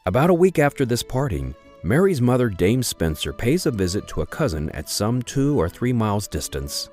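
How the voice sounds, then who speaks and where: A man's voice: mid-range voice, sing-song, normal speed, a little mellow, faint music behind. A man narrating in a theatrical, old-fashioned storytelling manner. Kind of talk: scripted narration.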